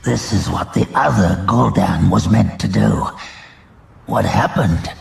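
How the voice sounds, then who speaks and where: A man's voice: lowish voice, slow pace, vocal fry, over music, voice pushed down, heavily acted. A man voicing a proud, suspicious orc warlock who growls terse, demanding questions in a fantasy audio drama. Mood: suspicious, defiant.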